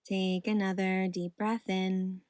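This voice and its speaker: A woman guiding a meditation in a soothing, gentle, unhurried manner. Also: mid-range voice, level tone, no room sound. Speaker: meditation guide. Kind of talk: guided meditation narration.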